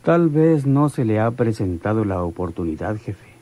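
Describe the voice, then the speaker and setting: A man's voice: on the low side, big pitch swings, normal speed, dark tone, lo-fi sound. An actor playing a deferential henchman reporting to his boss in a radio drama. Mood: deferential, explaining.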